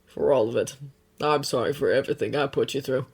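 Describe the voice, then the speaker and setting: A woman voicing a remorseful adult man who speaks softly and apologetically in a storybook reading. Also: medium pitch, fairly quick, vocal fry, slightly rough, voice pushed down. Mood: apologetic. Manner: soft, subdued, apologetic.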